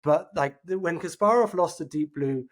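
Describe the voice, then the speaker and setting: A man with a fairly high-pitched voice speaks as a guest on a podcast. He talks earnestly and thoughtfully, working through his ideas with plenty of fillers and restarts. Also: normal speed. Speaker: guest, innovation executive. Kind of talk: casual interview chat.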